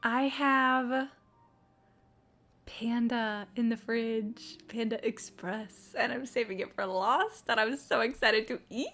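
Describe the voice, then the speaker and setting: A woman streamer with a fairly high voice chats casually over a game. She is sarcastic and swears freely as she comments. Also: takes it slowly, fairly bright tone, slight background noise. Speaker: live streamer.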